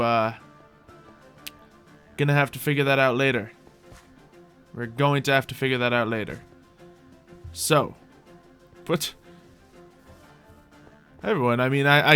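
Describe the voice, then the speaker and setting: Male game host, casual and rambling, repeats himself, mid-pitched voice, music underneath. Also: bright, forceful tone. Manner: rambling, repeats his own phrases. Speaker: host of a Minecraft roleplay video. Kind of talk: casual gameplay commentary, talking to viewers in light roleplay.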